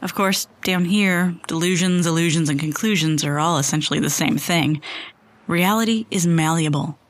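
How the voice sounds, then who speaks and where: Casting: woman as wry, distrustful narrator of surreal fiction podcast, slightly low register, literary delivery, dry studio sound. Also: moderate pace, no echo, lightly acted. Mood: wry, playful. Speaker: storyteller and host of a fiction podcast. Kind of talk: scripted dramatic first-person narration.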